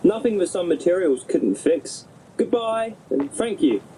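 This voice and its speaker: A man playing a bewildered but plucky offworld settler in an audio drama, speaking in an animated way. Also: high-pitched, unhurried, slight background noise, poor audio. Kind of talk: scripted audio drama acting.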